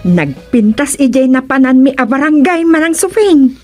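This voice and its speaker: A woman's voice: high voice, animated, normal speed, over loud music. A woman playing a curious, chatty village neighbor in a radio comedy drama, with an Ilocano accent.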